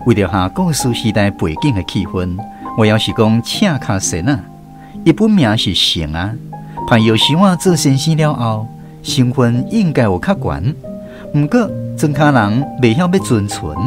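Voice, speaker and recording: A man with a Taiwanese accent hosting and narrating a scripted radio programme in an expressive storytelling manner. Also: medium pitch, normal speed. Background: background music, plucked guitar.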